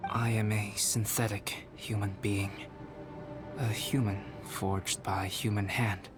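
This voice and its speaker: A man voicing a calm young male alchemist in a video game, speaking in a measured, formal and polite way over game music. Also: on the low side.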